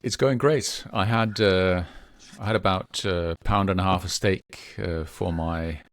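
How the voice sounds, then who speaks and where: Low-voiced man, halting speech. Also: fairly even intonation, no room sound. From Denmark. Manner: hesitant, many restarts and fillers. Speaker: guest. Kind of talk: conversational interview.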